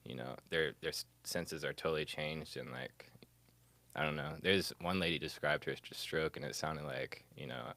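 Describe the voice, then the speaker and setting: A man's voice: very deep voice, normal speed, gravelly, pushed and bright, dry recording. A man telling stories as a podcast guest in casual, slangy, informal conversation. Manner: informal, slangy, says bro and like. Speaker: podcast guest, music producer. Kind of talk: casual chat, storytelling.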